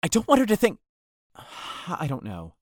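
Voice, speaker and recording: A man's voice: high-pitched, wildly swooping pitch, unhurried, no room sound, full character voice. Man acting insecure lovesick young guy, hesitant, voice swings up and down a lot, dry close recording, audio drama. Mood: self-conscious. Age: young adult.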